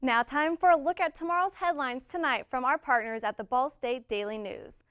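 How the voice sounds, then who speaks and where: A young woman, a student news anchor and reporter, reading scripted news in a crisp, even newscaster delivery. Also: high voice, moderate pace, no room sound, studio quality. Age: young adult.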